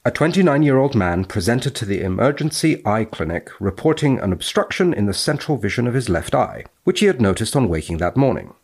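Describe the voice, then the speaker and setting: Male scientist guest, low register, precise and measured when explaining or reading a paper aloud. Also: big pitch swings, a little mellow, breathy edge.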